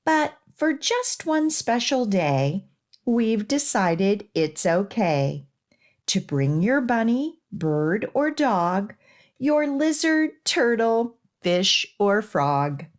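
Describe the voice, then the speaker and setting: Woman reading slowly and expressively to young children. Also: fairly high pitch. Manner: expressive, measured delivery with emphasis on rhymes. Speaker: children's librarian and storytime host. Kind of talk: read-aloud picture book storytelling for young children.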